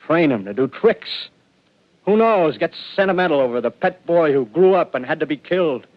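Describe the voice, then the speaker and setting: An actor playing a desperate male ex-militiaman who rambles feverishly about his survival scheme, swinging between hushed and loud. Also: fairly high pitch, lively intonation, moderate pace, close to an everyday voice. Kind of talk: 1930s radio drama acting. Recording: dull lo-fi vintage radio broadcast.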